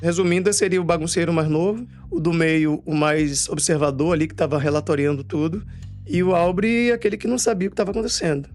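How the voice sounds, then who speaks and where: A man from Bahia with a Bahian accent telling family stories in a relaxed interview, chatty and quick to laugh. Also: fairly high pitch, faint music behind. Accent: Bahian Portuguese. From Bahia, Brazil. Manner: chatty, laughs often, fillers like "né" and "assim". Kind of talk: casual storytelling interview.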